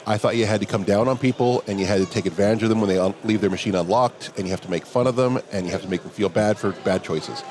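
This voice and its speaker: A man with a big voice, a security professional telling a relaxed story during a hallway chat at a conference. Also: on the low side, quick pace, few pauses. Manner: relaxed anecdotal telling, quoting others in dialogue. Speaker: interview guest, security professional. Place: outdoors at a conference venue.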